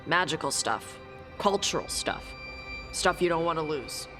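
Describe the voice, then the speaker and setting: A woman voicing a blunt young dragon hunter who talks in short, gruff, dismissive lines in a game cutscene. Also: low-pitched, lively intonation, measured pace, music in the background. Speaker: treasure hunter. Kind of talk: video game cutscene dialogue.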